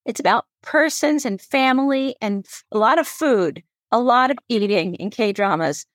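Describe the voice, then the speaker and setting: A woman's voice: on the high side, expressive, normal speed, no echo, studio quality. A woman from the United States hosting a podcast in a casual, curious and reflective conversational way. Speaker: podcast host.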